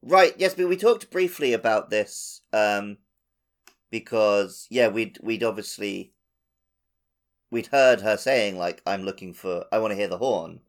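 A man co-hosts a casual gaming podcast in a fairly low, creaky voice. He speaks tentatively, thinking aloud as he goes. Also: animated, unhurried. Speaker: podcast co-host.

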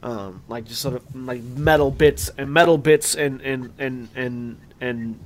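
Halting man running a tabletop game. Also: medium pitch. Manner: halting, many fillers and restarts. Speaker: game master. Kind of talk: describing scenes in a tabletop game.

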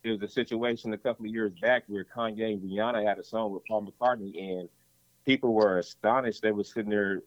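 A man's voice: lowish voice, darkish tone, no echo. A man, a rapper, talking casually in an interview, rambling and often repeating "you know what I'm saying.". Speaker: rapper guest. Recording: dull lo-fi dry sound.

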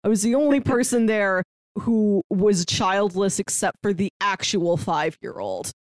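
A woman's voice: medium pitch, unhurried, dry recording. A woman in her early thirties co-hosting a casual film discussion, retelling scenes conversationally with bits of quoted dialogue. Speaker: podcast co-host.

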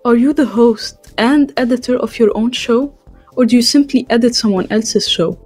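Husky woman hosting a podcast. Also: fairly high pitch, normal speed, a little mellow, faint music behind. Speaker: podcast host. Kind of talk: scripted introduction and questions.

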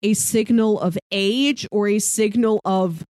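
A woman's voice: medium pitch, slow, darkish tone, no echo, studio quality. A woman co-hosting a casual, conversational discussion show. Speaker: podcast co-host.

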